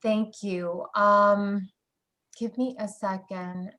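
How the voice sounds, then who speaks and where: A woman moderates a video panel at an unhurried pace. She pauses with fillers between her words. Her pitch is mid-range. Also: steady tone, a bit bright. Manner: hesitant, filler-heavy. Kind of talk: hosting a panel. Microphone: video call.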